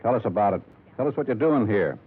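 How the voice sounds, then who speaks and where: An actor playing a brusque, suspicious police detective in a 1950s radio drama, curt and authoritative. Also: male voice, lowish voice, lively intonation, fast talker, husky. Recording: dull, noisy 1950s radio recording.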